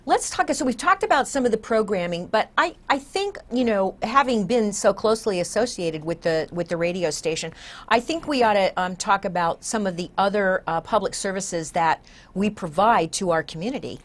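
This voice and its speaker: A woman in her sixties hosts a casual studio interview. She speaks in a chatty, conversational way at a middling pitch for a woman. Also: lively intonation, normal speed. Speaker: talk show host. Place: small TV studio, indoors.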